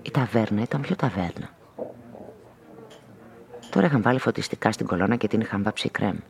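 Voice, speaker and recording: Deep, gravelly detective narrator. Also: male voice, expressive. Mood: wry, reflective. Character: weary, observant male homicide detective narrating his case. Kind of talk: first-person radio play narration. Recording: music under the voice.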